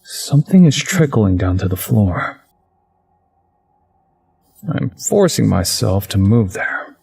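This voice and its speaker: A man's voice: fairly low pitch, very animated, takes it slowly, vocal fry, a little mellow, breathy edge, exaggerated voice. A man voicing a haunted, wry young man who narrates a visual novel game in a dramatic, introspective way. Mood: uneasy.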